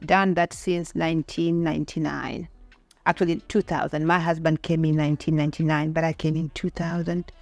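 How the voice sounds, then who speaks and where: A woman's voice: sits low, flat delivery, moderate pace, no room sound, soft background music. A middle-aged woman with a Kenyan accent talking about her own life in a conversational interview. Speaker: podcast guest.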